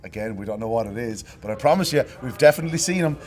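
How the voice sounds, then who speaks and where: This Irish man has a hoarse voice and hosts a greyhound racing review. He talks quickly and goes into detail on race times. His pitch sits in the middle range for a man. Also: animated, soft background music. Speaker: racing show presenter. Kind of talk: post-race review and analysis.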